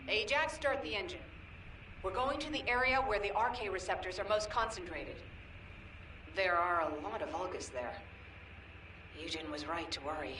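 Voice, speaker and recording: A woman's voice: mid-range voice, normal speed, long pauses, bright, forceful tone, lightly acted. An actress playing a decisive, serious woman officer giving orders in a video game. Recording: echoey, distant, faint noise.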